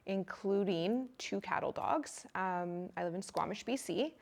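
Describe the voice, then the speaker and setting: A woman in her forties, an educator, earnestly describing her life in an interview with many pauses. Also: medium pitch. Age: 40s. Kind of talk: interview testimony.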